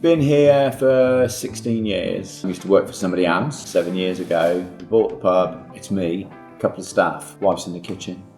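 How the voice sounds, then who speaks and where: A man's voice: sits low, moderate pace, a little mellow, faint music behind. A middle-aged man, a pub landlord, answering interview questions in short, clipped phrases.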